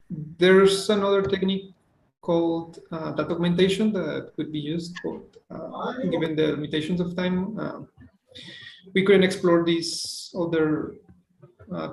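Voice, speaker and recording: A man presenting project results on a video call, halting, with many fillers and restarts. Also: fairly high pitch, vocal fry, slight background noise. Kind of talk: online webinar presentation. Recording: echoey room.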